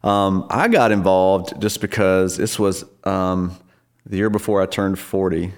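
Very low-voiced man in his forties. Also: unhurried. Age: 40s. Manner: easygoing, self-deprecating, many ums and you-knows. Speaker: worship leader, podcast guest. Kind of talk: casual interview conversation.

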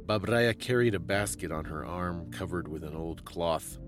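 A man giving a dramatic scripted story reading as a narrator, solemn and suspenseful, with deliberate phrasing. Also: low-pitched, over music. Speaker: storyteller and podcast host.

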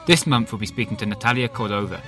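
A man's voice: on the low side, fast, a bit bright, faint music behind. A man co-hosting a news podcast, presenting from a script. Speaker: podcast co-host.

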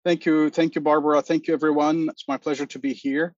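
A man in his forties from Lebanon, giving a measured, formal talk as a panel speaker. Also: on the high side, level tone, no room sound, highs cut off. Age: 40s. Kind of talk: prepared talk on a video call.

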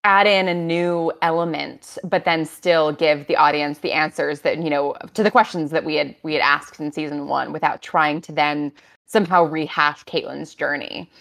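Female panelist, deep for a woman, gravelly creak, casual explanatory answer. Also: steady tone, normal speed, a bit bright. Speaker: panelist from a podcast's creative team.

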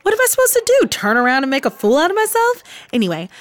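A woman acting in a radio drama as an impatient, curious, catty high-school girl, sassy and expressive. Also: fast, dry recording. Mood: gossipy, teasing.

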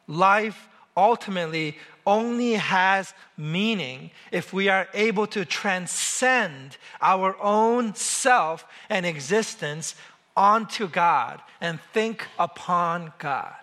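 Bright-voiced man in his forties preaching. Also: high voice. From South Korea. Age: 40s. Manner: measured, emphatic, slows on lists of words. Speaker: pastor. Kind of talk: sermon.

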